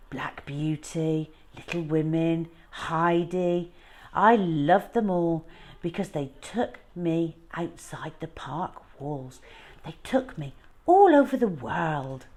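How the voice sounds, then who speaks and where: A woman voicing a wistful old lady who recalls her lonely childhood in a measured, reflective storybook manner. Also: low voice, a little mellow, heavily acted.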